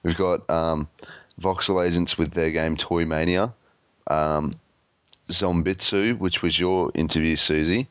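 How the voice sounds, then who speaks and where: A man hosting a podcast and doing quick interviews, casual and given to swearing and laughter. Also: low-pitched, steady tone, a bit bright, smooth, no echo, highs cut off. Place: busy convention floor.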